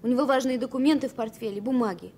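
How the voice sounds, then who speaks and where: An actress playing an earnest, composed but tender young airline stewardess in a Soviet radio drama. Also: female voice, a little high, very fast, a little mellow, close to an everyday voice. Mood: pleading, dutiful. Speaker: actor in radio play. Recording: noisy old radio play recording.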